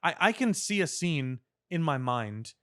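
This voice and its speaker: A man co-hosting a podcast, talking earnestly and articulately in casual discussion, with elaborate words and deliberate pauses. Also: a little high, very animated, bright, forceful tone. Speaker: podcast co-host. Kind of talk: opinionated casual pop-culture discussion.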